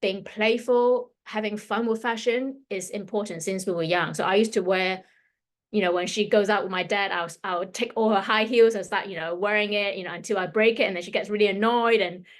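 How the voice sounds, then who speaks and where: A woman's voice: mid-range voice, steady tone, brisk. A woman in her fifties with a mixed Hong Kong and London accent, a fashion stylist leading a workshop in a loose, chatty way with many fillers. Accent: Hong Kong and London English. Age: 50s. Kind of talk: casual presentation to an audience.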